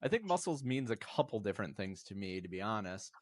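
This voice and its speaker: Man in his fifties, low voice, dry close sound, earnest and reflective, podcast guest. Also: very animated, brisk, no echo. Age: 50s. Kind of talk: casual interview talk.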